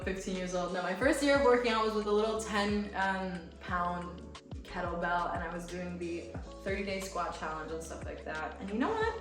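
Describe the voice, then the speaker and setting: A young American woman, raised speaking Romanian, chatting and laughing through fan questions in a vlog. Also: mid-range voice. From United States. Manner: bubbly, chatty, laughs often. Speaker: fitness creator. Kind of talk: casual Q&A vlog. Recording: echoey room with music from a distance.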